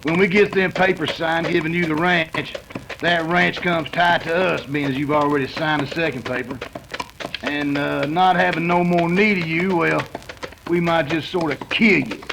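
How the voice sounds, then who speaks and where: An actor playing a menacing outlaw gang boss with a folksy cowboy drawl, in 1930s western radio style. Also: male voice, on the high side, steady tone, normal speed, gravelly, noisy background.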